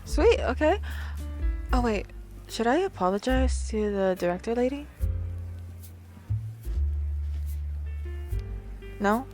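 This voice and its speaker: A woman's voice: fairly high pitch, sing-song, normal speed, darkish tone. A woman acting as a bubbly, slightly awkward woman out speed dating, talking straight to the listener in an ASMR roleplay. She rambles playfully and hesitates in a natural, giggly, conversational way. Music plays behind her voice. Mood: shyly hopeful, then giddy, sneaky.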